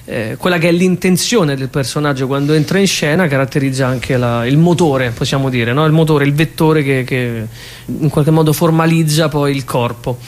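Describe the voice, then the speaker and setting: A man with a fairly high-pitched voice speaks as a guest in a casual interview. He tells things in an animated, conversational way, often adding little tag questions. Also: normal speed. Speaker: interview guest, theatre actor and writer.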